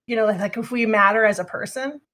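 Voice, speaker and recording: A woman's voice: fairly high pitch, fairly bright tone. A woman hosting a casual chat, asking questions and affirming often. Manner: agreeable, many quick affirmations. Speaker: interviewer.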